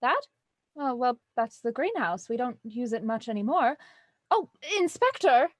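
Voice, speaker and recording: A well-bred young heiress in a period radio drama. Her voice is high and closely recorded, very dry of room sound, with polite, proper phrasing and an anxious, easily flustered edge. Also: sing-song, measured pace, no echo. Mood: polite, uneasy. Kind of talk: audio drama acting, Victorian murder mystery radio program.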